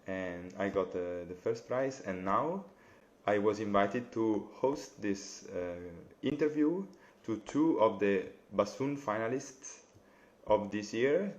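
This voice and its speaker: A young man with an Italian accent, hosting a live interview over a video call, friendly and halting, with many fillers. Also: on the low side, lively intonation. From Italy. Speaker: interviewer, bassoonist. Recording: dull lo-fi video call audio.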